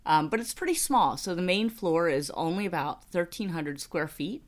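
A woman's voice: on the low side, expressive, normal speed. A woman in her thirties with an American accent, co-hosting a podcast in a casual, chatty storytelling way. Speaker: podcast co-host. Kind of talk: casual personal finance podcast chat.

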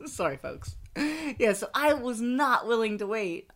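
A woman's voice: fairly high pitch, animated, a little slow, fairly bright tone. A middle-aged woman co-hosting a casual podcast, chatty and bubbly, laughing often. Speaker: podcast co-host. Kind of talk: casual chat.

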